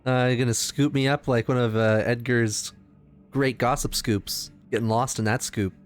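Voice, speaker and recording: A man's voice: medium pitch, fairly even intonation, moderate pace, soft background music. A man playing a tabletop game, chatting casually in a playful, jokey way and swearing freely. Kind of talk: casual excited game chat.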